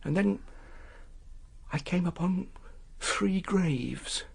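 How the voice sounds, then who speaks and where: Creaky, hesitant older man. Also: high voice, darkish tone, exaggerated voice. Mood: haunted, dramatic. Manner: hesitant, stammering, halting storyteller. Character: frail, nervous older man, haunted and evasive. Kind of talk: British radio drama acting.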